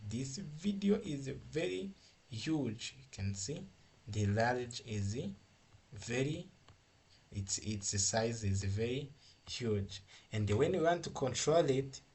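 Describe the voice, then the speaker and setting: A man who is a non-native English speaker, giving an informal software tutorial in a halting, repetitive, step-by-step way. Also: mid-range voice, breathy edge. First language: not English. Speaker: coding tutorial instructor.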